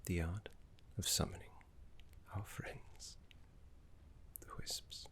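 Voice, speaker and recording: Casting: male actor as courteous scholarly necromancer mentor, very low-pitched, breathy half-whisper, reverent and soothing, game-inspired ASMR roleplay. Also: fairly even intonation. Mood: musing, fond. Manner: unhurried, refined, reassuring, deliberate pauses.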